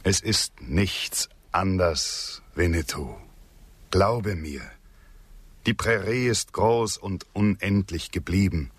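Earnest loyal frontier guy in a radio play, deep grave voice, poetic lines, no room sound. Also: takes it slowly, slightly rough, dry recording, exaggerated voice. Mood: earnest reassurance. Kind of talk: German radio drama acting.

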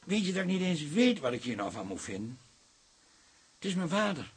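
An actor playing a bitter farmer's son from Twente in a radio play, sardonic and halting as he talks. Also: fairly high pitch, sing-song, husky, full character voice. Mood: wounded, resentful.

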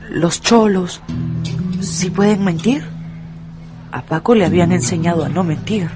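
A woman narrating a dramatised radio story in an expressive, deliberate, emphatic way. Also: fairly low pitch.

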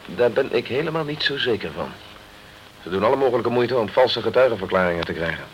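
A man's voice: medium pitch, lively intonation, quick pace, a little hiss or hum, lightly acted, poor audio. An actor playing a determined, blunt British man in a crime radio play, speaking tersely, earnestly and insistently. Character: determined, blunt British man fighting to clear an accused friend.

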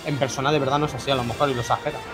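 A man's voice: medium pitch, steady tone, rapid-fire. A young man co-hosting a casual podcast, telling blunt anecdotes and swearing freely. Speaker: podcast co-host. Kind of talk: casual chat. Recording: music playing underneath.

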